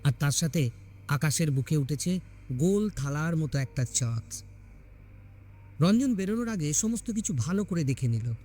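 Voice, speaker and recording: A man's voice: a little high, expressive, normal speed, long pauses, mellow. A man reading a scripted horror story as a dramatic narrator.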